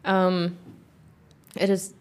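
A middle-aged woman with a non-native English accent, a company founder in a casual interview, talking thoughtfully with many pauses. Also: lowish voice, completely flat delivery, clean tone. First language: not English; grew up in Kazakhstan, speaks Russian. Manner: thoughtful, frequent "um" pauses, light laughter. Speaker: guest, founder of a workwear boot company.

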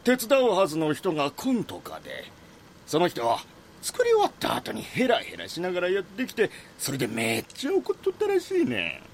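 An actor playing a blunt, casual local householder with a Kansai accent in an audio drama. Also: male voice, sits high, huge pitch swings, moderate pace, slight background noise, exaggerated voice. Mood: recalling. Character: blunt local man, brusque, matter-of-fact householder. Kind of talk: Japanese audio drama dialogue.

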